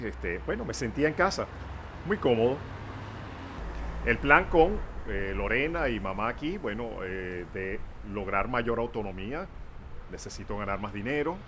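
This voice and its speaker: Older man in his sixties, low-pitched and halting, outdoors at a street corner with faint traffic behind. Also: a bit bright. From Venezuela. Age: 60s. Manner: hesitant, halting, heavy on fillers. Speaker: interviewee, father. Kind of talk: documentary interview.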